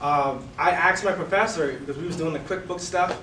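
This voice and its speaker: A young man with a Bahamian accent giving a relaxed, conversational talk to a live audience, full of "you know" and "right?". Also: fairly high pitch, brisk, slight background noise. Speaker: guest speaker. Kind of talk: casual presentation to a live audience. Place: event room before an audience. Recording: roomy, distant room mic.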